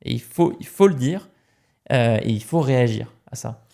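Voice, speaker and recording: A man's voice: medium pitch, lively intonation, moderate pace, creaky, dark tone. A man from France, a podcast guest, talking emphatically in casual conversation and repeating words as he starts a point.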